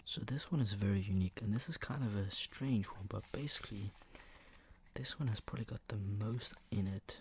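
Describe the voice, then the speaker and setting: A man speaking in a hushed murmur that slips into whispering, with a sore throat, casually showing items for ASMR. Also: on the low side, fairly quick, noisy background. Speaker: ASMR video creator. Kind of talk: ASMR, soft casual product show-and-tell.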